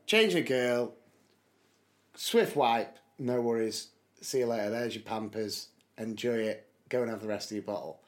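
A young man with a slightly hoarse voice, co-hosting a podcast and telling stories in casual chat, laughing often. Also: medium pitch. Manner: chatty storyteller, laughs often. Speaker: podcast co-host.

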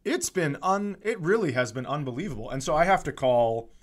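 A middle-aged American man chatting casually as a comedy podcast host, with an animated, profane, ranting manner. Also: on the high side, fairly quick.

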